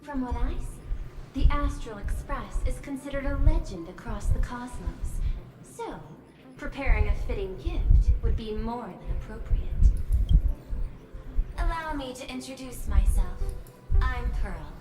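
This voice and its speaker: A woman's voice: a little high, animated, a little slow. A woman playing a courteous young corporate executive and governor in a game, speaking formally and diplomatically. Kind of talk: scripted game dialogue. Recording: echoey, music over the voice.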